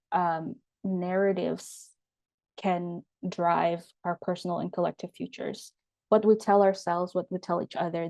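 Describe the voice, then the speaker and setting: A young woman with an Indonesian accent speaks as an interview guest, her voice low and warm. She talks in measured phrases, pausing often on ums and uhs. The sound is close and dry. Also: no room sound. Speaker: guest, writer and storyteller. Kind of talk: thoughtful interview answers.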